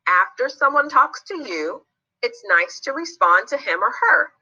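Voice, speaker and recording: Woman as a kind, bright-voiced little girl. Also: high voice, big pitch swings, unhurried, muffled recording, voice pushed up, heavily acted. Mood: kind, encouraging. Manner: kind, patient, encouraging. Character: friendly, helpful young girl guiding her friend. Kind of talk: children's storybook character voice.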